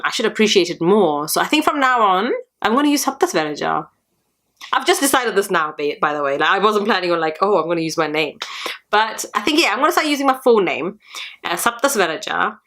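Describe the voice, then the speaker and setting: A woman's voice: mid-range voice, expressive, a little fast, fairly bright tone. Woman who grew up in germany, tamil first language, rambly casual diary talk, lots of ums. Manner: rambling, chatty, many fillers. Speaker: channel host.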